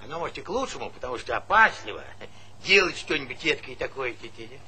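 Animated, garrulous old village grandpa. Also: male voice, mid-range voice, fast talker, husky, exaggerated voice. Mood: preachy, lively. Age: older. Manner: garrulous, folksy, needling, chuckling interjections. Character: talkative, teasing old village pensioner, needling and opinionated. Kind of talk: Soviet stage comedy acting. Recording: noisy 1970s theatre recording.

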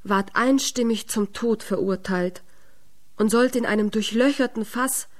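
A woman narrating a fairy tale in a radio drama, measured and expressive. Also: fairly high pitch. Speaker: storyteller.

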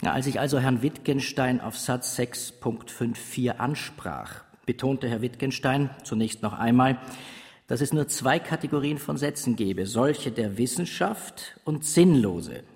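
An actor playing a dutiful, meek and pedantic academic, precise, careful and formal, in a German radio drama. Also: male voice, medium pitch, a little slow, vocal fry, a little mellow. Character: dutiful, pedantic academic reporting meekly on his master. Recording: long hall reverb.

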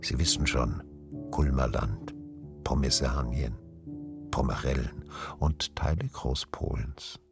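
An actor playing a solemn, ironic narrator of a radio drama, speaking in a deliberate theatrical way. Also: male voice, very deep voice, vocal fry, exaggerated voice. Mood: wry, presenting. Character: wry, solemn storyteller of the good old days. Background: music.

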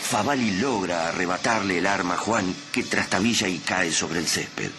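A man narrating a radio play in a solemn, measured, dramatic style. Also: sits low. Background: dramatic music.

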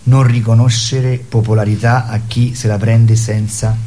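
A man arguing his opinions in an impassioned, polemical conversation, with halting, repetitive phrasing. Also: lowish voice, level tone, dark tone, a little hiss or hum.

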